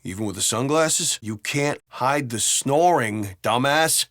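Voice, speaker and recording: A man's voice: mid-range voice, measured pace, fairly bright tone, dry recording, clean studio sound, lightly acted. A man playing a brash, griping American city cop in video game banter, talking in a colloquial, wry and profane way. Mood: dry, griping. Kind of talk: casual in-game banter dialogue.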